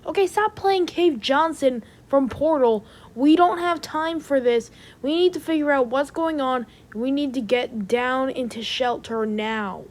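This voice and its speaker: A woman's voice: sits high, unhurried. A woman playing a stressed, exasperated rocket company staffer in a naturalistic amateur audio drama. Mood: panicked, upset.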